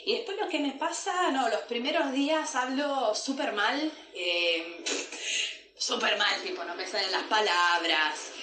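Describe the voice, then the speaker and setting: Slow, laughing woman. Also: fairly high pitch, bright, forceful tone. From Italy, Piedmont. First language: Italian. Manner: hesitant, laughing often, many fillers. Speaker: guest. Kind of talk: recorded testimony, casual chat. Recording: echoey room with noise.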